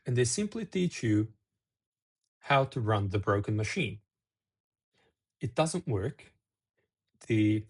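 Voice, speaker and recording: Gravelly low-voiced man from Serbia. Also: a little mellow. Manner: rambling, with restarts and asides. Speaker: video host. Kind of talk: casual talk to camera.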